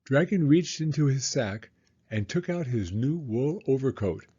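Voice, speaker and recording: A man reading a storybook aloud to young children in a deliberate, expressive storytelling way. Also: medium pitch, slightly rough, dull audio.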